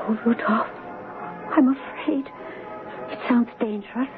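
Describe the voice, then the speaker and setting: A woman plays a young princess of old radio romance, devoted and earnest, her voice soft and fairly high. It sounds like a muffled broadcast with music clearly behind. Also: fairly even intonation, measured pace, close to an everyday voice. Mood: worried. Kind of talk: romantic radio drama acting.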